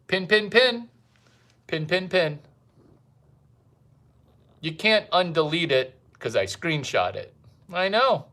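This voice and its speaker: A man doing a rambling comic monologue, provocative and fond of repeating his catchphrases with drawn-out emphasis. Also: on the high side, expressive, pushed and bright. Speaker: comedian host.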